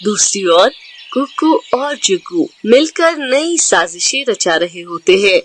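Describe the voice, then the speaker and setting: A woman's voice: mid-range voice, animated, slow pace. A woman narrating a scripted children's story.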